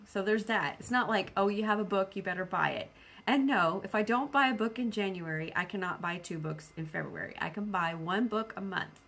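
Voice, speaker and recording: A woman vlogger with an average-pitched voice talks in a loose, conversational way. She circles back and repeats phrases to stress them. She quotes her own thoughts with some animation and is self-deprecating about her habits. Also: a bit bright. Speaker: book vlogger. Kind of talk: casual, rambling video chat about personal plans.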